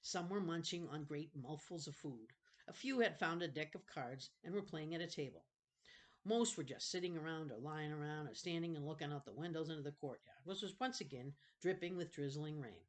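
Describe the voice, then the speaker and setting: A woman's voice: low voice, brisk. A woman reading a children's novel aloud expressively, with casual asides and the odd self-correction. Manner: expressive, stops to correct misreadings.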